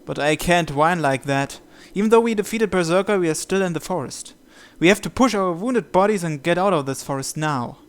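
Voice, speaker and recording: A man reading a visual novel's story text aloud as narration, in a measured, deliberate way. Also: high-pitched, expressive, clear, resonant tone. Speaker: video game player reading the script aloud.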